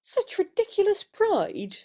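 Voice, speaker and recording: Woman playing an imperious, swooping, mellow young mistress. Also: very high voice, wildly swooping pitch, slow, heavily acted. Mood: exasperated. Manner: bossy, emphatic. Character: imperious, warm-hearted young lady of the house.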